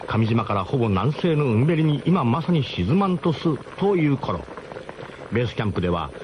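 A man's voice: medium pitch, background noise. A man narrating a radio drama as a first-person comic story, in a mock-grand, theatrical way.

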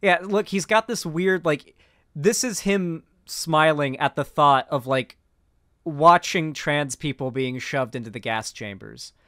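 Young American man, indignant streamer, higher-pitched voice. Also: a bit bright, no room sound, clean studio sound. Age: 20s. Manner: indignant, sarcastic, halting, profane. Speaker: live-streamer, political commentator. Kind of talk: casual reaction commentary. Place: small home streaming room. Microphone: close boom mic.

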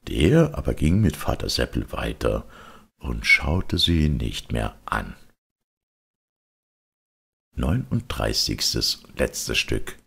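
A man's voice: rumbling low, huge pitch swings, slow pace, creaky, pauses often, a bit bright, breathy edge. A man reading a children's story aloud as scripted audiobook narration. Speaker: audiobook reader.

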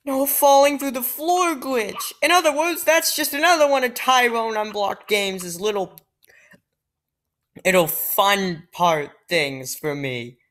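A woman giving casual, excitable commentary while playing a video game, joking and muttering asides. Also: a little high, slow. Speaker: let's play gamer. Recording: faint game music behind.

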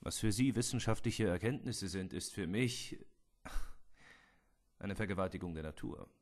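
A man voicing an outspoken, cynical scientist, gravely critical and lecturing in a halting way, in a film scene reenactment. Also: low-pitched, voice pushed down. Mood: scornful.

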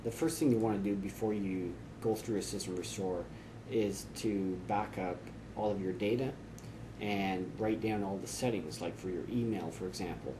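A man in his forties explaining computer steps to camera in a relaxed, chatty way with frequent fillers, filmed in a small office. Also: low-pitched, moderate pace, background noise. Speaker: tech tips host. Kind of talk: casual how-to explanation to camera.

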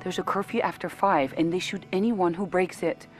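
A woman's voice: lowish voice, steady tone, moderate pace, faint music behind, natural voice. A woman playing a stubborn, anxious middle-aged mother of a soldier in a dramatic game phone call. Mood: defiant.

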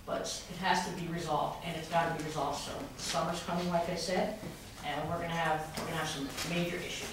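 A woman making a public complaint at a city council meeting, with many restarts, growing more heated. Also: on the low side. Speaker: resident speaking at public comment. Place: large wood-paneled council chamber. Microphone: podium mic recorded by a far camera. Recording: echoey chamber from far off, noisy.

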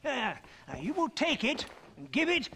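An actor playing a dissolute, jovial middle-aged English trader in a Western video game, stammering and pompous, with a British accent. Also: male voice, very high voice, huge pitch swings, moderate pace, bright, forceful tone, a little hiss or hum, full character voice. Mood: blustering, persuasive. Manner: blustering, stammering, pompous, tags phrases with "what?". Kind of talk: video game cutscene acting.

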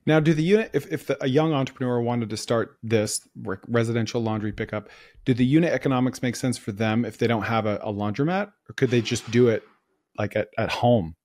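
A man of ordinary pitch hosting a business talk show. He asks quick, probing questions and thinks out loud, voicing his own opinions as the chat goes on. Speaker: podcast host. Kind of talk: casual interview chat.

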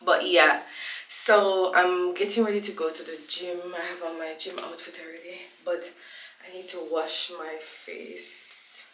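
A woman's voice: on the low side, flat delivery, unhurried, fairly bright tone, roomy sound, slight background noise, rough recording. A woman in her twenties from Jamaica, talking casually to the camera in a small room at home, chatty and rambling as she loses her train of thought. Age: 20s. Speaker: vlogger. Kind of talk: casual vlog chat to camera.

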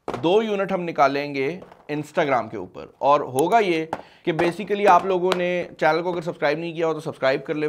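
A man in his twenties with a Pakistani accent, hosting a casual product review and mixing in English words. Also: fairly high pitch. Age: 20s. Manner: mixes English words and phrases into speech. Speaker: tech review host. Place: small studio at a desk, indoors.

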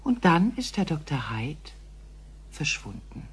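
An actress playing a composed female alien in a radio play, speaking formally and precisely with a husky voice. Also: deep voice, big pitch swings, slow pace, heavily acted. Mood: reserved. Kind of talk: radio drama acting.

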